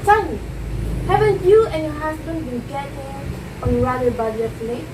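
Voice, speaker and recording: A woman's voice: sits high, moderate pace, natural voice. A woman playing a proud, poised stage actress in an amateur play, speaking formally with a theatrical, condescending air. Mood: amused, condescending. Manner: theatrical, haughty, formal diction. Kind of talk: amateur one-act stage play dialogue. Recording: echoey room, distant mic, clear noise.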